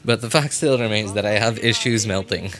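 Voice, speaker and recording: A man's voice: on the low side, a little fast, fairly bright tone. A man hosting a game livestream, chatting casually and rambling as he repeats and reworks his phrases. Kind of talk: casual live stream chat.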